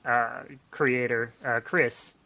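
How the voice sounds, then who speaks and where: A man talking casually as a writer on a podcast, rambling and self-deprecating in an animated way. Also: medium pitch, slow pace, creaky, a little hiss or hum. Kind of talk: casual podcast chat about writing. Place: home office, on a remote call.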